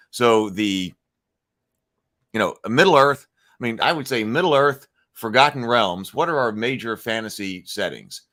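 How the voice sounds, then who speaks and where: A man's voice: fairly low pitch, sharp tone. A man hosting a casual live stream, thinking aloud with frequent restarts and fillers and answering viewers' chat. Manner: reads viewer chat comments aloud and replies. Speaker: livestream host.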